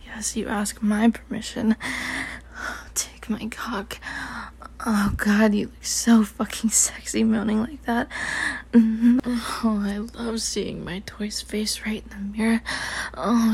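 Slow, breathy whispering woman. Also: on the high side, a little mellow. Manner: mostly unvoiced whisper; commanding, teasing. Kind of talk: whispered roleplay audio.

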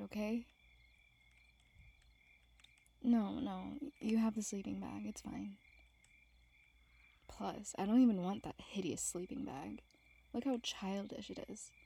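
A woman playing a grumpy, teasing young woman on a camping trip in an intimate audio roleplay, breathy and sarcastic. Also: mid-range voice, mellow. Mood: apologetic, sulky.

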